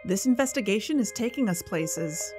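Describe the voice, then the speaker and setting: An actress as a woman hosting an investigative podcast drama. She is headstrong and insistent, with a medium-pitched conversational voice over music. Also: expressive, moderate pace, close to an everyday voice. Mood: earnest, intrigued. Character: headstrong, defensive female amateur investigator podcaster. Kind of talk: fictional podcast narration and dialogue.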